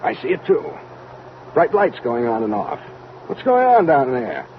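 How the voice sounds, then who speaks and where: A man's voice: medium pitch, very animated, a little slow. A man acting a blunt, wisecracking army major and rocket pilot in an old-time radio drama, speaking informally and plainly. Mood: puzzled, tense. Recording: muffled, noisy old radio recording.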